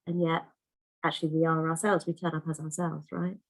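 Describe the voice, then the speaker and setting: A woman's voice: low-pitched, flat delivery, brisk, gravelly. A woman hosting an online conference over a video call, chatty and effusive, full of restarts and fillers. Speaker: conference host.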